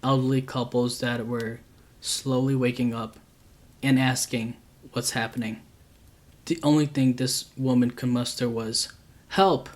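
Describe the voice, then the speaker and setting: A man calmly reading true scary stories aloud as a channel host, with a steady, unhurried delivery. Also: medium pitch.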